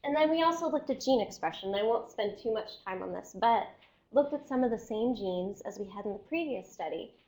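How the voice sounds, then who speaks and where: A woman scientist giving an academic seminar lecture, explaining step by step and often checking in with her listeners, while losing her voice and clearing her throat. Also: on the high side, animated, fairly quick, a little mellow, low-quality recording.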